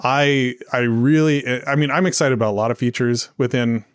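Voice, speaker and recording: A man hosts a relaxed podcast about app development, his voice at an ordinary middle pitch. The sound is dry and close, with no room around it. He talks in a chatty way, restarts often, and argues points with good humor. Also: moderate pace, smooth, no echo. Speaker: podcast host. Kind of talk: casual tech podcast chat.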